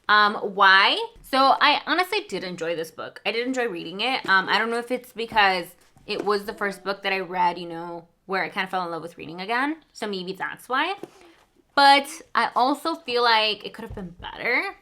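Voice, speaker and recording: A young woman from Mexico, with Spanish as her first language, chats casually through a book review. She rambles with plenty of fillers and side remarks. Her voice sounds bright and sits at a middle pitch. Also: animated, moderate pace. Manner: chatty, rambling, lots of fillers and asides. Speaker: book vlogger.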